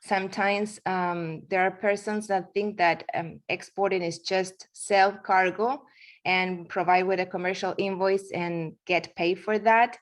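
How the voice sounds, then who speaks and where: A woman's voice: medium pitch, fairly even intonation, unhurried. A woman in her forties from Argentina, speaking English with an Argentine accent, giving a presentation with frequent fillers and restarts. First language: Spanish. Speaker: guest speaker. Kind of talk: webinar presentation.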